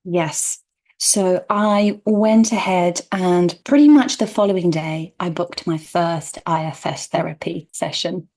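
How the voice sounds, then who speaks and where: Polish native woman on a podcast, thoughtful, soft laughs while she explains. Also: lowish voice, takes it slowly, poor audio. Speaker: guest therapist. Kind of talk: reflective podcast conversation.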